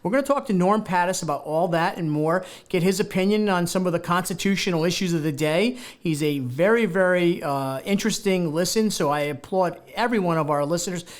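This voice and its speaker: A male talk show host with a slightly high voice, speaking in a TV studio. He sounds eager and rambles, circling back and stumbling over his words. He keeps the show moving with excited introductions. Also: fairly quick, hardly any pauses. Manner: enthusiastic, rambling, many fillers and restarts. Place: local TV studio.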